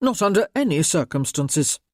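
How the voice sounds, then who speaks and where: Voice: mid-range voice, very animated, moderate pace, a little mellow, no room sound, studio quality. Man voicing a composed polite lord. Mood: calm, measured. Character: courteous gentleman lord, composed.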